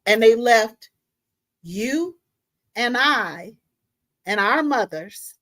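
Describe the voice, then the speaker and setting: Higher-pitched woman, emphatic informal talk. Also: expressive, very slow, fairly bright tone. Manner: emphatic, direct address with repeated tag questions. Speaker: online show host. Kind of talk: impassioned informal talk to viewers.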